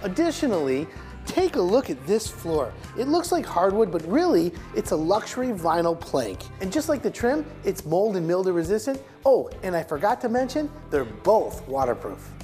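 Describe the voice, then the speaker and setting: A middle-aged man with a mellow, rounded voice walks viewers through building samples in an upbeat salesman's patter. He speaks as a guest expert on a studio set, miked with a lapel mic, with faint music under him. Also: sing-song, moderate pace. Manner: upbeat, pitching and pointing at samples. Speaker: home improvement expert guest. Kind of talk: product demo on a TV talk show.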